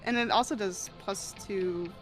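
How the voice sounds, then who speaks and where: A woman's voice: medium pitch, unhurried, soft background music. A woman playing a tabletop game, chatting casually and narrating her character in a giggly, questioning way.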